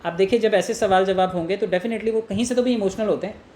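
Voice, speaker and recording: An echoey room with faint background noise surrounds a man from India as he coaches on selling. He explains things earnestly and informally, often posing rhetorical questions and voicing sample phone pitches. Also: fast, darkish tone. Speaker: network marketing trainer. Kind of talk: informal sales coaching with role-played call examples.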